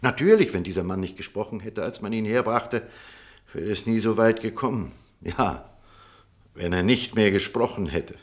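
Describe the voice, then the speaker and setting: An actor in a German radio play as an authoritative, defensive surgeon with a troubled conscience, speaking formally and insistently. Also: male voice, on the low side, moderate pace, close to an everyday voice. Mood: earnest, brooding. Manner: formal, insistent, brusque with hesitations.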